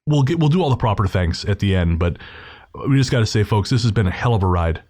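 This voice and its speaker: Low-voiced man hosting a podcast. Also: animated, fast talker, a little mellow. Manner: informal, slangy, addressing listeners directly. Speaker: podcast host. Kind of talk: casual episode introduction.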